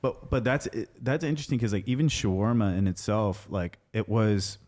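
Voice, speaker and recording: A man with a fairly low voice hosts a laid-back podcast conversation. He rambles through his thoughts with lots of "like" and sounds enthusiastic about what he's describing. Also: moderate pace, darkish tone. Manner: rambling, filler-heavy, enthusiastic. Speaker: podcast host. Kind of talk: casual chat.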